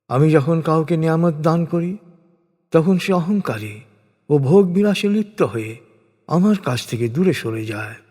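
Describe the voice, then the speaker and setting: A man giving a solemn, measured scripted reading of translated scripture, phrase by phrase with pauses. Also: on the high side, darkish tone. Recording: long hall-like reverb.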